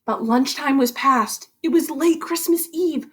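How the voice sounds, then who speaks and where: A woman with a high voice reads a storybook aloud to children. Her delivery is expressive and theatrical, leaning into the rhymes like a practiced school reading teacher. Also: measured pace. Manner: animated, theatrical emphasis on rhymes.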